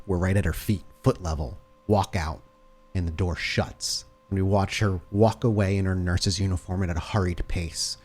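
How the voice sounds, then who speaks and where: A man narrating a tabletop horror game as game master, cinematic, vivid and deliberate. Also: low voice. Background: music.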